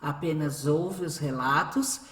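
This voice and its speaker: A woman's voice: deep voice, takes it slowly. Echoey woman radio drama narrator. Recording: echoey room.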